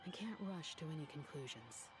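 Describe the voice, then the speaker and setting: Low-voiced, composed analytical woman. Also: lively intonation, fairly quick. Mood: composed, wary. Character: composed, analytical woman weighing a schemer's motives. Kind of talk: video game story dialogue. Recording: game music playing under the voice.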